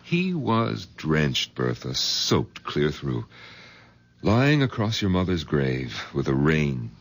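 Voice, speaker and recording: An actor playing a practical doctor and husband who speaks brisk and matter-of-fact in a 1970s radio drama. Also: male voice, very deep voice, huge pitch swings, heavily acted. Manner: brisk, matter-of-fact, rising to puzzled questions.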